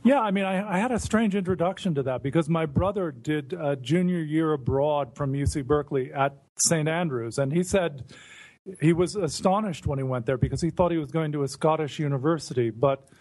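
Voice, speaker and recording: American male podcast host, higher voice, chatty storyteller. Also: brisk, a little mellow, rough recording. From United States. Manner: chatty, stumbling starts, amused. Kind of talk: casual podcast conversation.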